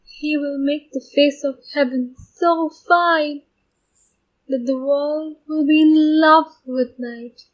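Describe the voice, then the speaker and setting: A woman's voice: high-pitched, fairly even intonation, slow. A woman playing a theatrical ghost of lost acting roles in a radio play, declaiming dramatically. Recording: dull lo-fi microphone.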